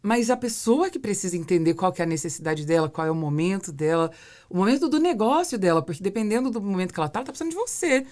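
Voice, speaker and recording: A woman's voice: medium pitch, big pitch swings, fast, a little mellow. A Brazilian woman hosting a casual interview, explaining her thoughts at length and building points through repetition. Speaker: podcast host and business mentor.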